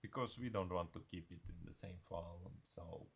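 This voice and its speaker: Very deep, gravelly-voiced man teaching software. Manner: halting, hesitant delivery. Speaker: software tutorial presenter. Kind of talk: unscripted screencast tutorial.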